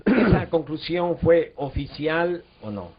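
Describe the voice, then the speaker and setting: A man's voice: on the high side, very animated, takes it slowly, breathy edge. A man interviewing, asking probing questions and pushing back on the answers. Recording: dull lo-fi recording.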